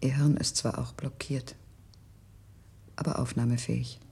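An embittered enslaved woman plots against her masters in a science fiction radio play. Her voice is extremely husky and breathy, dark and creaky. She declaims in a measured, conspiratorial half-whisper. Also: very deep voice, steady tone, full character voice. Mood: urgent, conspiratorial. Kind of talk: 1970s German radio drama acting.

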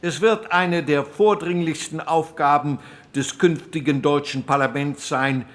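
An earnest postwar male parliamentarian, played by an actor, orating slowly in a raised pitch. The delivery is formal and declamatory, as in a radio docudrama. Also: steady tone, close to an everyday voice. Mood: earnest, urgent. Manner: declamatory, deliberate. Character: earnest postwar conservative parliamentarian giving a speech.

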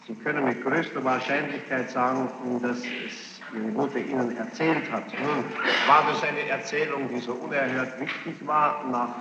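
A man's voice: mid-range voice, fairly even intonation, normal speed. Guy grilling a witness in court, formal and pushy, muffled old tape with lots of room echo. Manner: insistent, probing, repeats the witness's words back. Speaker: court examiner questioning a witness. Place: large reverberant courtroom. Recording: muffled, noisy, echoey archival courtroom tape.

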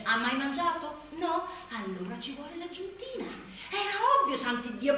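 A woman's voice: sits high, bright, forceful tone. An actress playing an overwhelmed new mother in a wry, emphatic theatrical monologue, with abrupt swings in volume. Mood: bitter, wounded, then exasperated. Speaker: stage actress performing a monologue. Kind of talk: theatrical comic-dramatic monologue. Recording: echoey room, distant mic, faint noise.

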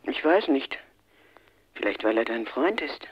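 A man's voice: mid-range voice, very animated, measured pace, breathy edge, muffled recording. An actor playing a detective's teasing, caring male friend over the telephone in a radio play. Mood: thoughtful.

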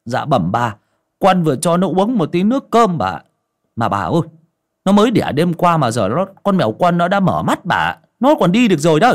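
A man's voice: on the high side, very animated, normal speed, pitched above the performer's own voice. A man voicing a timid young servant boy who stammers and bows. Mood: eager. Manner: meek, stammering, bowing.

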